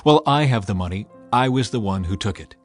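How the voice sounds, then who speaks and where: A man's voice: on the low side, expressive, brisk, soft background music. A man voicing a forthright, eager householder of ancient times in a dramatized Bible reading, with direct delivery. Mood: confessing.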